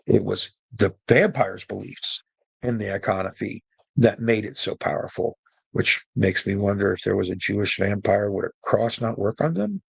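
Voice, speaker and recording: A man's voice: lowish voice, moderate pace, vocal fry, breathy edge, dry recording. A man hosting a casual, humorous talk on media, conversational and jokey with emphatic asides. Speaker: host, storyteller presenting to an audience.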